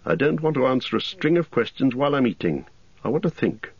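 A man's voice: medium pitch, expressive, fairly quick, gravelly, breathy edge, highs cut off, voice pushed up. A man voicing a weary, guarded male hobbit who carries a heavy burden, in a storybook reading. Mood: grave. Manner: guarded, terse.

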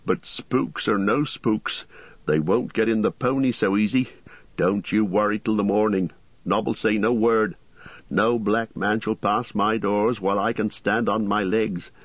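A man's voice: fairly low pitch, steady tone, a little slow, no echo, muffled recording, pitched above the performer's own voice. A man voicing a fussy, flustered middle-aged innkeeper, chattering in rustic turns of phrase, in a storybook reading. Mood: anxious, helpful.

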